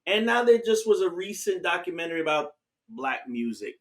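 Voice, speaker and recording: A man hosting a music show interview, asking questions and giving encouraging shout-outs. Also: high-pitched, sing-song, fairly quick. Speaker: interview host.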